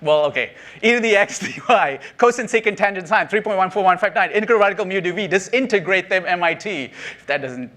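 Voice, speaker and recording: Chatty conference speaker, slightly high voice. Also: male voice, lively intonation, fast, fairly bright tone. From Sri Lanka. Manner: chatty and self-deprecating, laughs at his own jokes, many ums. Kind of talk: keynote talk to an audience. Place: conference stage.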